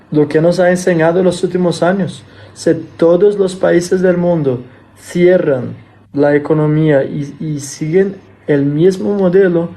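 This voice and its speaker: A man with a fairly high but mellow, soft-edged voice talks through money matters in an easy conversational way. Spanish is not his first language, so he now and then stalls to hunt for a word. He builds his points through examples. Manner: non-native Spanish speaker, sometimes searching for words; thoughtful, explains with examples. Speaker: podcast co-host. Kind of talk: casual discussion.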